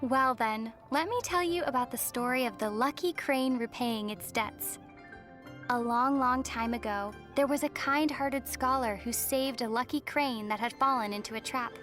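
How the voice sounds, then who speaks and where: A woman voicing a composed young female traveler in a video game, gentle, measured and thoughtful, with a wry edge. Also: a little high, faint music behind, close to an everyday voice. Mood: calm storytelling. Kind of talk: video game character voice lines.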